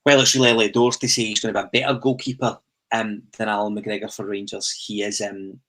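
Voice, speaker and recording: A man's voice: fairly low pitch, little pitch movement, quick pace, a bit bright. A Scottish man hosting a football podcast, steering the talk and reading out listener comments with frequent "um"s. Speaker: podcast host. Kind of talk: casual football chat.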